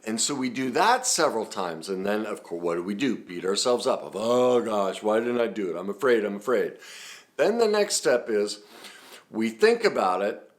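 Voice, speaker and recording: A man giving self-help advice straight to the viewer, earnest and reassuring, building emphasis with repeated phrases. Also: medium pitch. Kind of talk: direct-to-camera advice talk.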